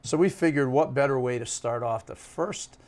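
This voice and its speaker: A man with a mid-pitched voice co-hosts a sports show. He talks casually, in the manner of a relaxed chat with the audience. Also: normal speed.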